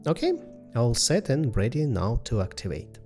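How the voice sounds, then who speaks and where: A man with a soft-edged, mellow voice at a middle pitch narrates a flight simulator session. He works through each step methodically and hesitantly, as the host of the video. Faint music plays behind his commentary. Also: very animated, normal speed.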